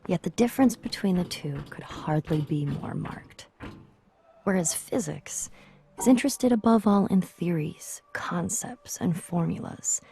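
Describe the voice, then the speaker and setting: Low, creaky-voiced woman reading reflectively. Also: mellow. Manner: measured, contemplative delivery. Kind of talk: scripted literary quotation reading.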